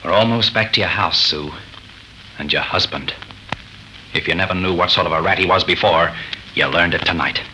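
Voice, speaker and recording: A man's voice: deep voice, moderate pace, long pauses, bright, forceful tone. An actor playing a brave, protective, lovelorn frontier man with folksy Western phrasing in an old radio melodrama. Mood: bitter longing. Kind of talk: 1930s radio melodrama acting. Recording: noisy 1930s radio recording.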